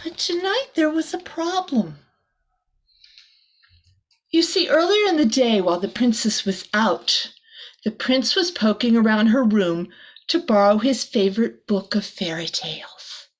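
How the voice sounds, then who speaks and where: A woman reading a picture book aloud to children like a teacher, expressively, with soft chatty asides. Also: fairly high pitch, unhurried, rough recording.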